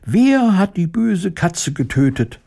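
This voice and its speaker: A tiny, excitable storybook courtier mouse. The voice is piping and squeaky, pitched extremely high, with fawning, animated swoops. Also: male voice, takes it slowly, gravelly, full character voice. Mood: anxious relief. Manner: breathless, fawning. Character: excitable little courtier mice, anxious and deferential.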